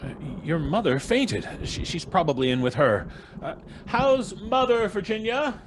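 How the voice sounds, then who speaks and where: A man's voice: sits high, lively intonation, measured pace, noisy background. A middle-aged man playing a flustered, bossy American father, stammering and blustery, in old-time radio drama style. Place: small home studio. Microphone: close studio microphone.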